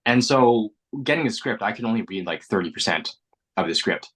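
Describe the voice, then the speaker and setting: A man's voice: lowish voice, expressive, a little fast, fairly bright tone. A young adult actor from Canada whose first language was Mandarin, talking earnestly and reflectively in an interview, often restarting his words. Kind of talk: casual interview chat.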